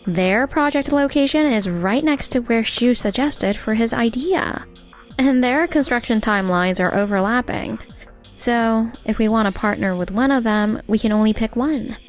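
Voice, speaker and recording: Manga dub casting: actress as professional young secretary, fairly high earnest voice, cautious, soft music underneath. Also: female voice, normal speed, natural voice. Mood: businesslike. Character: careful, perceptive young female secretary. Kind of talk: manga dub dialogue acting. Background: faint background music.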